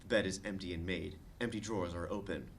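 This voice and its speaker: A man narrating the stage directions of a comedy screenplay at a table read, with expressive delivery. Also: low voice, quick pace, gravelly, a little hiss or hum.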